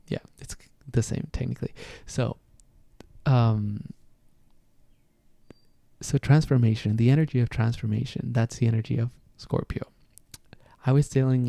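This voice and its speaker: A man's voice: mid-range voice, gravelly, warm tone, dry recording. A man with a Venezuelan Spanish accent, a native Spanish speaker hosting a podcast and talking reflectively, often searching for words. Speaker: podcast host. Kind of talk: casual solo podcast talk on astrology.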